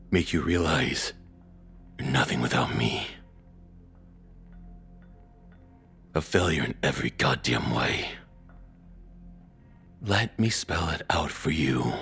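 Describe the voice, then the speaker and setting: Male actor as cruel possessive ex-boyfriend, extremely low voice, taunting, domineering, intimate roleplay monologue, music underneath. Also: creaky, a bit bright, slightly rough, full character voice. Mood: menacing. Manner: taunting, domineering, slow deliberate menace. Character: controlling, cruel, menacing abusive ex-boyfriend.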